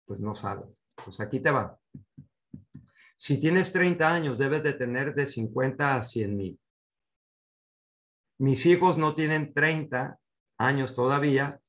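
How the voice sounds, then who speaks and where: An older man with a mid-pitched voice teaches an online seminar as a coach. He addresses listeners directly, giving short instructions and posing rhetorical questions. He is heard through a computer microphone on a video call. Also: a bit bright. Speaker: personal finance coach. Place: indoor room at home, on a video call.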